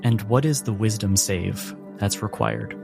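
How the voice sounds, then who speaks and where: A man running a tabletop game as game master, narrating in measured, dramatic phrases. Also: lowish voice, creaky. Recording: music bed under the voice.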